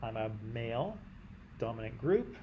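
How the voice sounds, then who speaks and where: A Canadian man teaching a class in a conversational way, often checking in with "right?" and clearing his throat. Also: medium pitch, big pitch swings, moderate pace, muffled recording, a little hiss or hum. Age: adult. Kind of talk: classroom lecture.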